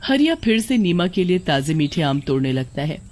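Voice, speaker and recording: A woman's voice: on the low side, big pitch swings, moderate pace, darkish tone. Woman narrating a scripted story.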